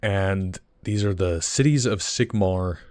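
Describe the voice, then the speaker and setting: This is a young man from Iceland, speaking English as a second language, on a casual stream. His voice sits very low. He rambles warmly through fillers and restarts, getting excited about what he shows. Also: slow. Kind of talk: casual livestream chat.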